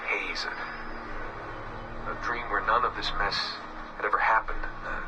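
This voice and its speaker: An actor playing a weary, haunted young man, recording a halting, reflective confession in a subdued murmur for a game. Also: fairly low pitch, fairly even intonation, lo-fi sound, noisy background. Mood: wistful, halting. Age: young adult. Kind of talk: recorded confessional audio diary, game voice acting. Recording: distant game audio with music behind. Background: music.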